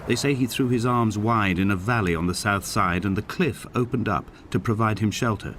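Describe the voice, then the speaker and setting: An actor playing a solitary, melancholy middle-aged man, narrating in a measured, solemn, literary style for a game. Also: lowish voice, a bit bright, a little hiss or hum, lightly acted. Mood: wistful, reflective. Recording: music behind the narration. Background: clear background music.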